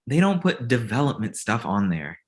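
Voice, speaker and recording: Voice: medium pitch, sing-song, moderate pace, close to an everyday voice. An actor playing a glib, self-absorbed amateur male film director in a comedy table read, breezy and confident. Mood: defensive, breezy.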